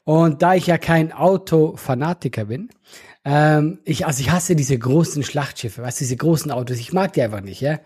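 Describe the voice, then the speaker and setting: A husky man with a Swiss German accent, his voice sitting a little high for a man. He chats casually, telling animated stories and laughing often. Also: normal speed. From Switzerland. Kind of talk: casual chat.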